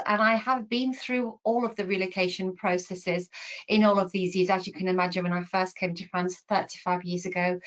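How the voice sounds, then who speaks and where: A woman's voice: mid-range voice, a bit bright. A woman, a relocation expert, introducing herself confidently on a webinar panel over a video call. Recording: muffled video call audio.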